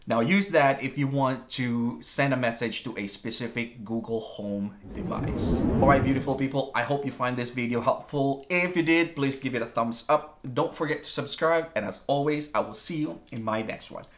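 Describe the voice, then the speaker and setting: A man's voice: medium pitch, moderate pace, few pauses. A man hosting a casual how-to tech video in an upbeat, chatty way. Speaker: host of a smart home tech channel.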